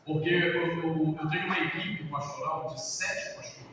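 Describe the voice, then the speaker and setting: Middle-aged man, pastor, lively storyteller; distant mic in a reverberant hall with noise behind. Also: on the high side, normal speed. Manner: lively storyteller, acts out quotes, laughs. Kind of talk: sermon-style talk with personal stories. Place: large church hall.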